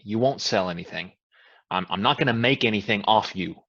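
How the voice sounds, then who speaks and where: An actor in a staged play reading, playing a guarded, sceptical man, a reluctant business partner with a dry, halting delivery. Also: fairly low pitch, creaky, a bit bright, highs cut off, a little hiss or hum.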